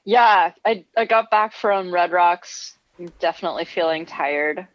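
A woman's voice: fairly low pitch, unhurried, dry recording, lo-fi sound. A woman climbing coach talking casually as a podcast guest, slightly stuffy, chatty with lots of fillers and laughter. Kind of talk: casual interview chat.

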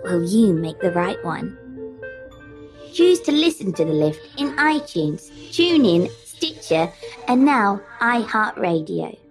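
A woman's voice: mid-range voice, very animated, takes it slowly. Enigmatic mistress of a magical lift, played by a woman, podcast promo, theatrical swoops, ominous, light music behind. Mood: inviting. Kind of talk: scripted podcast promo monologue.

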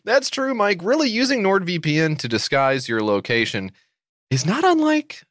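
An American man co-hosting a comedy podcast, sarcastic and jokey, reading an ad in mock-excited bursts. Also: sits high, a little slow, a little hiss or hum. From United States. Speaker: podcast co-host. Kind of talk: comedic podcast banter and read-out ad.

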